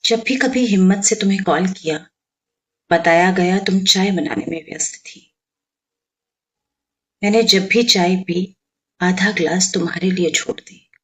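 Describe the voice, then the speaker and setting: A person reciting poetry in a solemn, measured manner, with pauses and some hushed, breathy phrasing. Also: medium pitch, poor audio. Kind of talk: dramatic Hindi poetry recitation.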